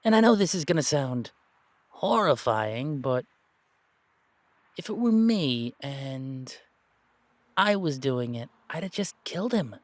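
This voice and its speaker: A man playing an earnest, anxious young werewolf who thinks aloud haltingly in an audio roleplay. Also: a little high, big pitch swings, dry recording. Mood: reluctant, dark. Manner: hesitant, rambling, halting.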